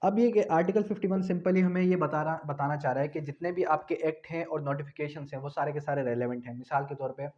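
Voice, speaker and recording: Male law lecturer, Pakistani accent, slightly high voice, repetitive question-and-answer explaining, muffled lo-fi recording. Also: fast talker, few pauses, darkish tone. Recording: dull, lo-fi sound.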